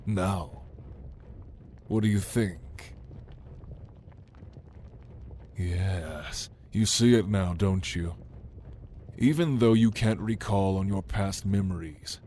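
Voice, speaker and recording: A man's voice: fairly low pitch, warm tone, a little hiss or hum. A man playing a proud, commanding demon lord in an ASMR roleplay, speaking in a theatrical, menacing manner to the listener. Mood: commanding, challenging. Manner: slow, imperious, theatrical, menacing.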